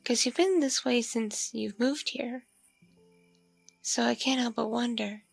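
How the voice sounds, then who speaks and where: A woman playing a lonely, flirtatious vampire neighbor in an intimate ASMR roleplay, speaking in a breathy, creaky murmur with hesitant, drawn-out pauses. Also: fairly high pitch, dry recording, soft background music, exaggerated voice, rough recording. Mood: gently probing. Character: lonely, flirtatious, awkwardly polite pale vampire woman next door. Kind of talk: ASMR roleplay, intimate one-sided dialogue.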